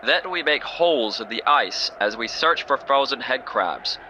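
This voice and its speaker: A man's voice: medium pitch, lively intonation, normal speed, lo-fi sound, background noise, lightly acted. A man playing a cheerful, oddly oblivious male biologist. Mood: chipper.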